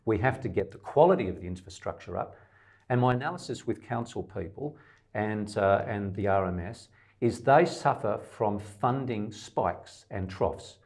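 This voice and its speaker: A man's voice: on the low side, animated, moderate pace, a little mellow. An Australian man, a middle-aged independent candidate, answering interview questions emphatically in long lists, with some stammered restarts.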